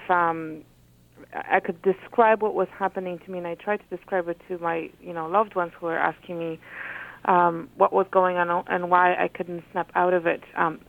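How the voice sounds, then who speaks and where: Polish-born woman with a gravelly voice. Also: lowish voice. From Poland. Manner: thoughtful, hesitant with frequent ums. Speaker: author, guest. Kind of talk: reading from her own book and chatting. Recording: phone-like radio audio.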